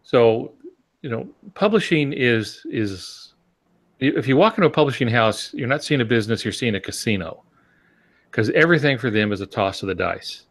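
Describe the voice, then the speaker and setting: A man's voice: mid-range voice, animated, normal speed, fairly bright tone. A man in a casual discussion, explaining step by step and posing rhetorical questions as he goes. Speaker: podcast panelist.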